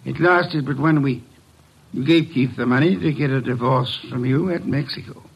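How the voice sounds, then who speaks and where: An actor playing an imperious detective who speaks formally and precisely, in old-time radio mystery style. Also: male voice, medium pitch, normal speed, creaky, slightly rough. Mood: stern, deliberate. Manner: commanding, formal, precise diction. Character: imperious, deliberate male detective, a mastermind unmasking a killer. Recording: muffled, dull old radio recording.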